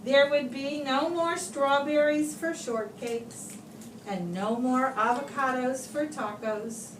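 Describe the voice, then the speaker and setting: Lady reading a kids book out loud, kinda high voice, expressive w pauses, far from the mic w noise behind. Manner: expressive, deliberate phrasing with pauses for young listeners. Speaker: teacher reading aloud to children. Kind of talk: children's picture book read-aloud. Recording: roomy, distant microphone, clear background noise.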